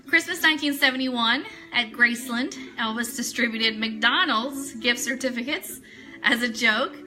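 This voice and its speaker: A woman's voice: fairly high pitch, moderate pace, pushed and bright, soft background music, rough recording. An American woman hosting an online radio show live, chatting with viewers and reading items aloud in a chatty manner. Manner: chatty, greets viewers by name. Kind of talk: live-stream chat mixed with reading aloud.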